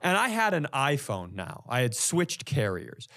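A man's voice: mid-range voice, wildly swooping pitch, measured pace, a bit bright. A man telling comic stories in casual podcast chat, with an exasperated, profane delivery. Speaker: comedian, podcast co-host.

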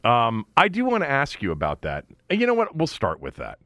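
A man's voice: mid-range voice, huge pitch swings, a little fast, fairly bright tone, no room sound, clean studio sound. A man hosting a sports radio show in a casual, conversational way, often breaking off into asides.

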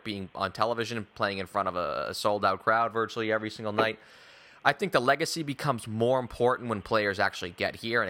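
A man's voice: lowish voice, bright, forceful tone. A man hosting a sports discussion, giving wordy opinions and building long arguments in a conversational way. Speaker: sports show host.

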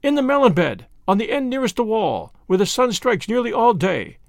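A man doing a high, gushing little songbird. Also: very animated, moderate pace, gravelly, dry recording, clean studio sound, exaggerated voice. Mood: fawning. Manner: high, sing-song and gushing. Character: excitable male tailorbird, small and feather-brained.

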